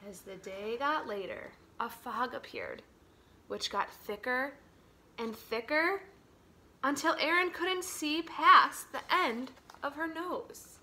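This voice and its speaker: A woman with a high, bright voice reads a story aloud to children. She takes her time, telling it slowly and dramatically. Her delivery moves between hushed phrases and strong, emphatic ones. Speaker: teacher reading a picture book aloud.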